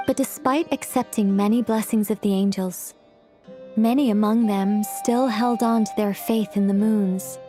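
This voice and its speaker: A woman's voice: mid-range voice, dark tone, close to an everyday voice. An actress playing an eccentric, absent-minded female mage in a video game, explaining at length in a scholarly, wordy manner. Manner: long-winded explaining, flustered when interrupted.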